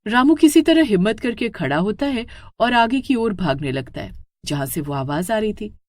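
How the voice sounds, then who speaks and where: A woman's voice: medium pitch, lively intonation, moderate pace, darkish tone, dry recording, studio quality. A woman narrates a scripted horror story in a dramatic, suspenseful way.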